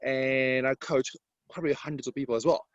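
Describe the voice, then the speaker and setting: A man's voice: medium pitch, fairly even intonation, a little fast, dry recording, lo-fi sound. A man from Serbia with a Serbian accent, speaking English as a second language, answering interview questions as a confident property mentor in broken grammar. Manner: confident sales-coach delivery, broken grammar.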